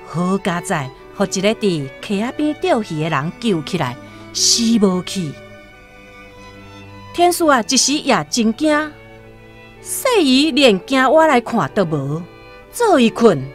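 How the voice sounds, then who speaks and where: A Taiwanese woman narrates a radio drama at an unhurried pace. Her storytelling rises and falls expressively over plucked guitar music. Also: medium pitch. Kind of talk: scripted literary storytelling.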